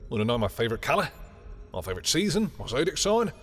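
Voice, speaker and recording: A sardonic male villain, acted by a man for an audio roleplay, petty and theatrical. He speaks in sarcastic taunts to a familiar rival at a medium pitch. Faint music plays behind the voice. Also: expressive, moderate pace, natural voice. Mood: annoyed. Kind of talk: scripted audio roleplay acting.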